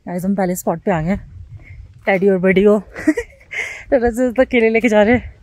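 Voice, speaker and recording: A woman's voice: medium pitch, normal speed, a little mellow, dry recording. A woman in her thirties from India chatting informally to viewers as a travel vlogger. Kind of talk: casual vlog chat, Hindi with some English. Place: outdoors on mountain walkways and inside a car.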